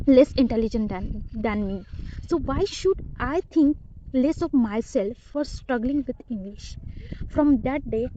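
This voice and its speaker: Earnest young woman, soft-toned. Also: a little high, dull audio, slight background noise. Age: young adult. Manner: hesitant, earnest, with restarts and grammar slips. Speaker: vlogger learning English. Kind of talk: personal vlog monologue.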